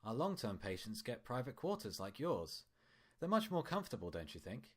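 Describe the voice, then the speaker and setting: Storybook pony doctor, male, played by a man: chatty, falsely gentle, patronizing, pitched up for bedside cheer. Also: very animated, normal speed. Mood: soothing. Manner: patronizing, chatty, over-soothing.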